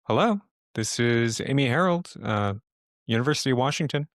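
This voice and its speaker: A man voicing a hesitant, guarded university librarian woman taking a phone call in a tabletop game, speaking in a halting, tentative way. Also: medium pitch, expressive, no room sound, clean studio sound, natural voice. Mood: polite.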